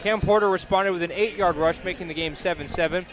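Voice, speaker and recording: A man calling football play-by-play live on radio, building to excited calls on big plays. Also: high voice, fast talker, a crowd in the background. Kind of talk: live radio sports commentary. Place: live football game broadcast.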